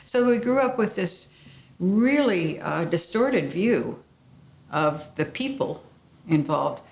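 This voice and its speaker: An older woman answering in a documentary interview, warm and reflective, with some fillers. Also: on the low side, expressive, slow pace, gravelly, reverberant.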